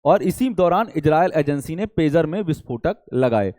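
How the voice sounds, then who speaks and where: A man's voice: fairly high pitch, lively intonation, moderate pace, a little mellow, dry recording, studio quality. A man teaching a current affairs lesson in a didactic way, often checking in and posing rhetorical questions. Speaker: teacher for competitive exam students.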